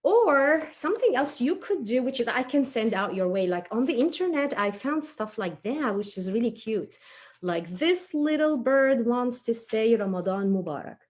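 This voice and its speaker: A woman's voice: a little high, big pitch swings, moderate pace. A woman presenting a children's craft tutorial step by step, informal and encouraging as she talks while working with her hands.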